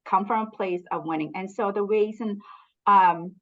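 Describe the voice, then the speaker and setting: A woman's voice: mid-range voice, normal speed, fairly bright tone, muffled recording. A middle-aged woman from Canada, a business coach telling her story in a candid, earnest, motivational way during a casual interview.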